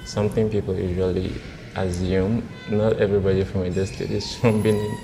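A Nigerian man in his thirties on a blind date, talking in a hesitant, halting way with many restarts. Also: deep voice, level tone, big-room sound, music in the background. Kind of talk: casual conversation. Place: indoor restaurant with large windows. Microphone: lapel mic.

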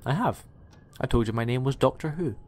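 A man's voice: mid-range voice, lively intonation, a little fast, a little mellow, lightly acted. A man playing an eccentric, chatty time-travelling alien in an audio drama, with a rambling, quirky way of talking. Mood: breezy, rambling. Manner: rambling, self-correcting, quirky. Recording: music under the voice.

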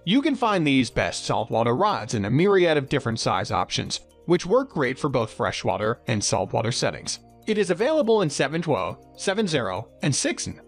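A man's voice: medium pitch, sing-song, normal speed, few pauses, soft background music. A man reading a scripted product review as narrator.

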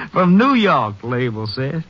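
An actor playing a naive, cheerful ranch hand with a rustic drawl in a 1950s radio western. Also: male voice, medium pitch, sing-song, measured pace, husky. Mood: cheerful, proud. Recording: muffled old radio recording.

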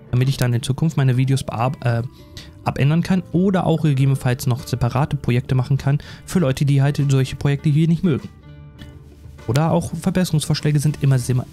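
A man's voice: medium pitch, warm tone. A man doing casual, rambling let's play commentary in a self-deprecating, chatty way. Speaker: gaming YouTuber.

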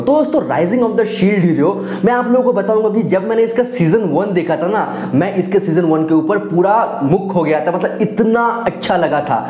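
A man's voice: sits high, mellow. A young man giving casual, enthusiastic video commentary, often addressing his viewers directly like friends. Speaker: anime review YouTuber. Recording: roomy echo.

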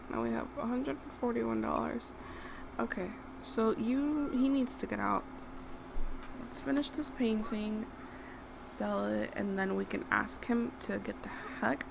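A woman's voice: on the high side, gravelly. A young woman from Rico, chatting casually over gameplay and scolding the characters on screen. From Puerto Rico. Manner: chatty, talks to the game characters, bursts of outrage. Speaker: gaming YouTuber. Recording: noisy home recording.

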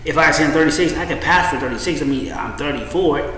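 A man's voice: on the high side, quick pace, a bit bright. A man in his thirties rambling casually to a webcam at home, loose and profane, repeating himself and trailing off. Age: 30s. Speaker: vlogger. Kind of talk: rambling casual monologue to camera. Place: small room indoors at home. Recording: echoey room with faint noise.

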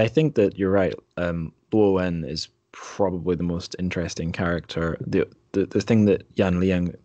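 A man hosting a conversational interview, speaking in a measured way and pausing to form his thoughts. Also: deep voice, no room sound. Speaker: podcast host, interviewer. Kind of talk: conversational book discussion.

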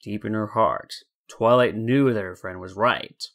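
A man narrating a scripted story in a deliberate, dramatic storytelling manner. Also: lowish voice, fairly bright tone. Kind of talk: scripted fan fiction narration.

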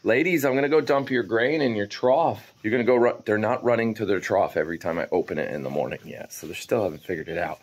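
A man's voice: fairly low pitch, quick pace, few pauses. A man in his forties vlogging casually while doing morning chores in a goat barn, rambling to his viewers, filmed on a handheld camera at arm's length. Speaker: farm vlogger. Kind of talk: casual vlog chat. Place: goat barn and farmyard outdoors.

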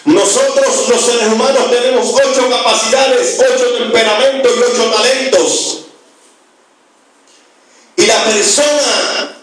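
A man's voice: extremely high pitch, a bit bright. A man in his thirties preaching an emphatic sermon as an evangelist, repeating phrases for effect, speaking into a handheld microphone in a small church. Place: small church with hard walls, indoors. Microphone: handheld mic through PA, recorded by phone from afar. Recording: very echoey hall, distant phone recording, music.

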